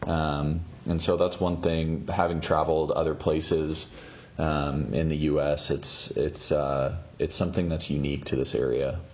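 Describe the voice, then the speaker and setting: A man's voice: a bass voice, little pitch movement, measured pace, dull audio. A man from Seattle talking hesitantly in a casual chat, with many ums and restarts. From Seattle, USA.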